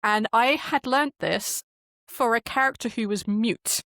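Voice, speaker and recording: A woman's voice: on the high side, unhurried, fairly bright tone, no room sound, clean studio sound. A woman speaking as a podcast guest, telling stories in a chatty, emphatic, casual way with the odd swear word. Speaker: podcast guest, game master and audio drama creator. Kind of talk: animated casual interview storytelling.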